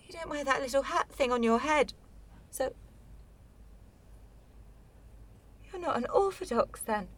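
A bitter blunt lady in a radio drama, highish voice, sardonic, keeps probing. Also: lively intonation, a little fast. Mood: confrontational. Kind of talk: radio play dialogue.

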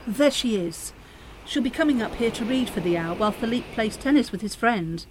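Actress as an insistent French madame, lively and high. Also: female voice, noisy background, close to an everyday voice. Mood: urgent, persuasive. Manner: brisk, insistent, pressing. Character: practical, persuasive mother of a grown daughter, French madame. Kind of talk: radio play acting.

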